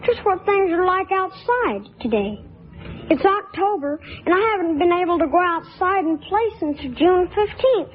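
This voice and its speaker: A woman's voice: very high-pitched, lively intonation, moderate pace, phone-quality sound. A woman voicing a sick eight-year-old boy who is lonely and eager, talking earnestly and on the edge of tears in a radio drama. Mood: wistful. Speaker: sick child.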